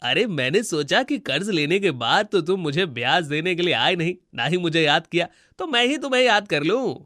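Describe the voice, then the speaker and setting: A man plays a greedy village moneylender in a cartoon tale. He talks in a fairly high, dry-sounding voice, smug and unyielding, with menace in his manner. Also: lively intonation, normal speed, bright, forceful tone, no room sound. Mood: mocking. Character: grasping, threatening male village moneylender.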